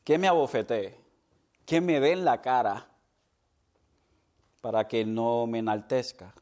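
A man's voice: mid-range voice, measured pace, darkish tone. A man preaching a sermon as pastor, slipping in English words and laughing at his own stumbles.

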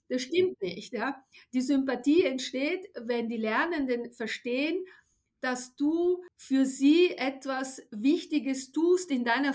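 A middle-aged woman, a native speaker of Italian, explains as an expert in an interview, emphatic and didactic, often tagging her points with a short check word. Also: on the high side. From Italy. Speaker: neuroscientist and language teacher, expert guest.

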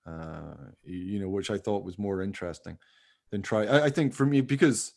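A man with a lowish voice and a gravelly creak, chatting as a podcast host. He talks informally and rambles loosely through his thoughts. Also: normal speed, darkish tone. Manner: rambling, informal, often hedging with "you know". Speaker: podcast host, radio play writer. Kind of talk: casual chat.

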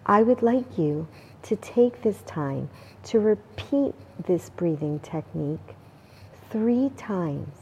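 A woman leading a guided meditation in a calm, deliberate manner, leaving long pauses between short phrases. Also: on the low side, slight background noise. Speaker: meditation guide, spa owner and life coach.

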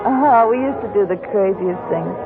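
A woman's voice: mid-range voice, moderate pace, over loud music, natural voice. An actress playing a chatty, flighty nightclub woman who rambles on in an old radio drama. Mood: chattering. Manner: rambling, gushing. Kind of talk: 1940s radio acting. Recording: tinny old radio broadcast.

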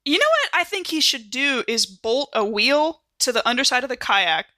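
Bright, dry-recorded woman podcast performer. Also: sits high, animated, normal speed, no room sound. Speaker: podcast cast member. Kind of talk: dramatic audiobook-style reading and casual chat.

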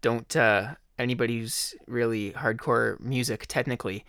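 A man hosting his own podcast, talking casually in a slightly hoarse voice, rambling with fillers. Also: medium pitch, steady tone, moderate pace, a bit bright, dry recording, clean studio sound. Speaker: podcast host. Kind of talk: casual solo podcast talk.

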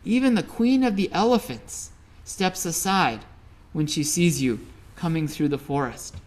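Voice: unhurried, natural voice. A storyteller voicing a meddling magical forest sprite in a children's story, incredulous and exclaiming. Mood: indignant. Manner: high, exclaiming, incredulous questioning.

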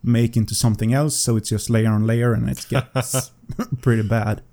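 A man's voice: medium pitch, steady tone, moderate pace, vocal fry, warm tone. A man with a Swedish accent, a podcast guest chatting casually in a hesitant, modest way. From Sweden. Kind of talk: casual chat.

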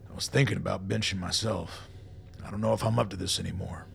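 A man plays a gruff, world-weary old military colonel in an audio drama. His voice is lowish and heavily creaky, blunt and folksy with dry wit. There is faint noise behind him. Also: steady tone, fast talker, a bit bright, heavily acted. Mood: weary, troubled. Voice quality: gravelly. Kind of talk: radio play acting. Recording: noticeable background noise.

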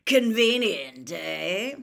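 Storybook role: menacing old wizard-hunter played by a woman, gravelly growl, slow clipped phrasing, sardonic and distrustful. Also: mid-range voice, very animated, fairly bright tone, pitched above the performer's own voice, full character voice. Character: gruff suspicious old ex-dark-wizard-hunter man, menacing.

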